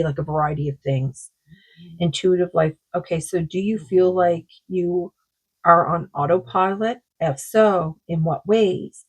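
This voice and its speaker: A woman with a sore throat giving a rambling, casual oracle card reading, full of fillers and slow read-aloud passages. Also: on the low side, a little mellow, slightly rough, poor audio. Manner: rambling, many fillers, reads card texts aloud slowly. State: sore throat, losing her voice.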